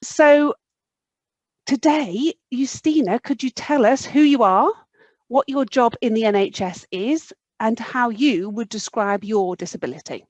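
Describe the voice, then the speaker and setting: A woman's voice: on the high side, unhurried, a little mellow, no echo, highs cut off. A woman, hosting a conversational podcast interview. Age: 40s.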